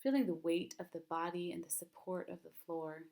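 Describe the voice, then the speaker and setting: Calm, low-pitched woman guiding meditation. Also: vocal fry. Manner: calm, gentle, soothing, unhurried with long pauses. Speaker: mindfulness teacher leading a guided meditation. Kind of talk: guided meditation narration.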